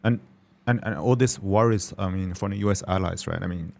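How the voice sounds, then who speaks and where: A man with a very low, gravelly voice talks fast in a relaxed podcast chat. He stumbles and repeats words as he hurries through his points. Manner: stammering, repeats words often. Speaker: podcast guest. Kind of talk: casual conversation.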